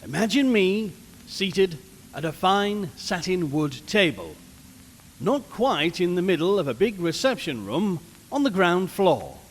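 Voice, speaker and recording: A man acts an earnest, showy male radio reporter in an old-style radio play. His voice is high and highly animated, with slow, formal, theatrical phrasing. It rings in a long, hall-like reverb. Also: a little hiss or hum. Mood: wry, businesslike. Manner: theatrical, formal, deliberate storytelling. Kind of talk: old-fashioned scripted radio drama narration.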